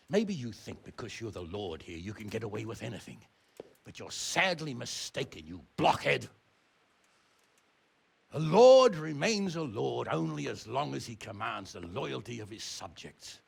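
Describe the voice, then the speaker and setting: An actor playing a stern, exasperated noble uncle in a medieval video game, blustering, sarcastic and blunt. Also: male voice, medium pitch, vocal fry, fairly bright tone, breathy edge, heavily acted. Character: stern, exasperated noble uncle scolding his wayward ward. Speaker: medieval lord and guardian.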